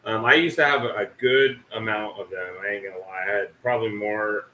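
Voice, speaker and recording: Bright-voiced rambling American-style host chat. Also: male voice, fairly low pitch, fairly quick, echoey room, slight background noise. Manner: rambling, self-mocking, swears casually. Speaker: livestream host. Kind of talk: casual chat.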